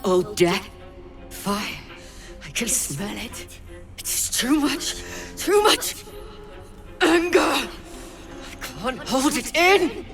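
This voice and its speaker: Very slow, wounded beast. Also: female voice, high voice, big pitch swings, creaky, exaggerated voice. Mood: overwhelmed fury. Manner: halting, primitive short phrases. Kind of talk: video game creature acting. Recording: loud music under the voice.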